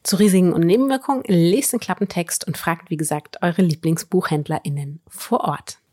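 A woman's voice: lowish voice, moderate pace, mellow. A woman presenting a book podcast as co-host in a conversational style.

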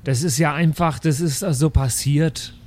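A man playing a sullen, defensive teacher who shrugs off responsibility in slow colloquial speech, in an improvised audio play. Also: on the high side, fairly even intonation, darkish tone, lightly acted. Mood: defensive, evasive.

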